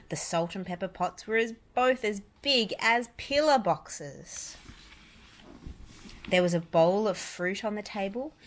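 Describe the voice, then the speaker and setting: A woman reading a children's story aloud, deliberate and emphatic on repeated descriptive words. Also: lowish voice. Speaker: storybook reader, parent.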